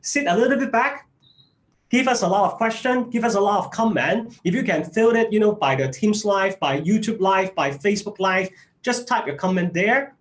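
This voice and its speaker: Man hosting a webinar. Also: high-pitched. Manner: rambling, many "you know" fillers. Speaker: webinar host. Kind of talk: webinar introduction.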